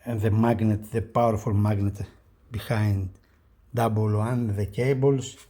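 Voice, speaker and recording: A man who is not a native English speaker presents a product unboxing casually, speaking in short, halting phrases. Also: lowish voice, steady tone, dark tone. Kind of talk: casual unboxing demonstration talk.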